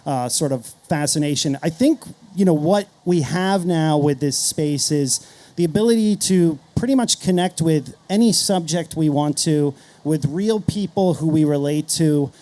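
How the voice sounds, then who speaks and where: A middle-aged man speaking as a panel guest, explaining ideas in a measured, earnest way. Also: a little high, dark tone. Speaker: marketer and podcast creator, panel guest.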